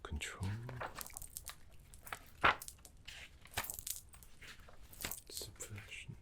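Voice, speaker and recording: A man voicing a gentle, fussy, scholarly older necromancy teacher in a soft ASMR roleplay, breathy and half-whispered, with polite, hesitant phrasing. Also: slight background noise. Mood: patient, instructive. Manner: polite, hesitant, slow and deliberate.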